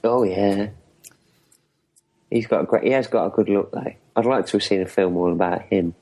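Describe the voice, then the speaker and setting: A man's voice: on the low side, normal speed, dark tone, slightly rough. A man co-hosting a podcast movie commentary, bantering playfully and laughing with his co-host.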